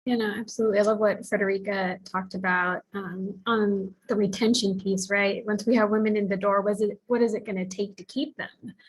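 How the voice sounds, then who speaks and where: A woman's voice: mid-range voice, fairly even intonation, a little fast, few pauses, a little hiss or hum, rough recording. A woman from the Philippines speaking earnestly as a panelist, sharing her own path with many fillers. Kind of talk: panel discussion, personal account.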